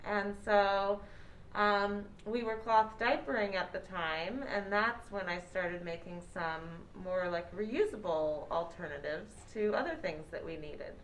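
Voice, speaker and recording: A woman's voice: medium pitch, measured pace, a little hiss or hum, poor audio. A woman founder speaking as an interview guest in a casual, personal storytelling style.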